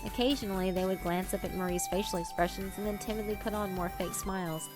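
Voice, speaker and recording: A woman's voice: mid-range voice, fairly even intonation, fast, over music. A woman reading dramatic scripted narration for a visual novel.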